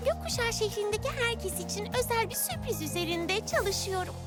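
A determined, cheerful little girl adventurer from an animated series. The voice is extremely high and swoops up and down, expressive and exclamatory. Loud music plays behind it. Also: a little fast. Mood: proud, eager. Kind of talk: animated children's cartoon dub acting.

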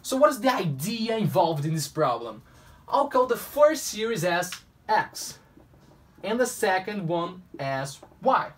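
A man's voice: high-pitched, very animated, a little slow, a bit bright, distant mic. Young Brazilian man teaching math playfully. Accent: Brazilian Portuguese. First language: Portuguese. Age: young adult. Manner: playful, talks to himself. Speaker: math tutor. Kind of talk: whiteboard math lesson. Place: small room at a whiteboard. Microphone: camera mic at a distance.

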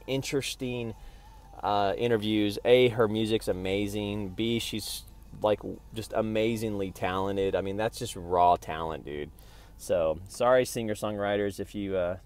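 A man's voice: fairly low pitch, darkish tone. A man vlogging in a casual, rambling monologue, digressing often and talking in slangy, profane everyday speech.

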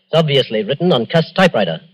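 An actor playing an impatient, vengeful gentleman in an old radio drama, curt and accusing. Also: medium pitch, very animated, fast talker, breathy edge. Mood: scornful. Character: impatient, vengeful gentleman hounding a murder suspect. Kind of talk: 1940s radio drama acting.

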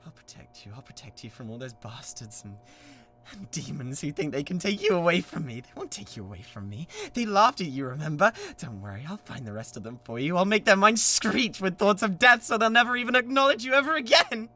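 A woman's voice: on the low side, sing-song, brisk. A British woman playing an obsessive, menacing young yandere classmate in a theatrical ASMR roleplay monologue. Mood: vengeful. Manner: theatrical, swinging between hushed coaxing and manic intensity.

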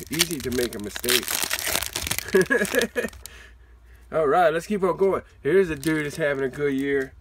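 A man hosting a card-opening video with excited, playful casual commentary, given to exclamations. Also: fairly high pitch. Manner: exuberant, exclaiming and whooping, playful. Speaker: host of a trading card opening channel. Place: indoors, at a table with cards.

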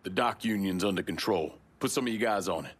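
A man's voice: low-pitched, fast, slight background noise, natural voice. A man playing a tough, terse young mob enforcer in a video game, businesslike and menacing. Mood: cold, firm.

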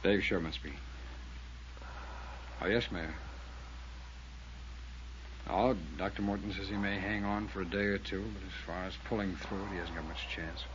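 An actor playing an earnest, worried city attorney in 1950s radio drama style. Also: male voice, deep voice, fairly even intonation, normal speed, long pauses, natural voice. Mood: polite. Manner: earnest and worried, repeating himself. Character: fretful male city attorney. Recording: hissy muffled old radio recording.

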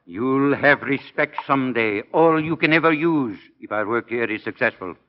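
An actor playing a gruff but fatherly priest and science professor in 1940s radio style, firm and measured. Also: medium pitch, lively intonation, lightly acted. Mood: fatherly, encouraging. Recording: muffled 1940 radio broadcast.

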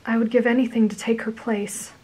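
A woman voicing a soft-spoken young woman who speaks sincerely, with small hesitations. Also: fairly high pitch, little pitch movement, brisk, slightly rough, low-quality recording. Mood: tender.

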